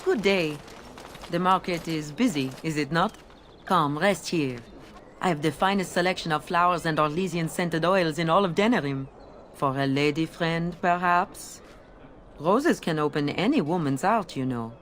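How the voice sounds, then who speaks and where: A woman playing a genteel market flower seller in a video game, with a French accent and a measured, coaxing, formal manner. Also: low voice, a bit bright, a little hiss or hum. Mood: inviting, helpful.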